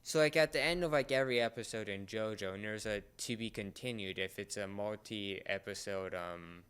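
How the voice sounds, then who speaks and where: A young man co-hosting a casual podcast chat, speaking in a halting, rambling way and often repeating words. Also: fairly low pitch, fairly bright tone, clear, resonant tone. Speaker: podcast co-host.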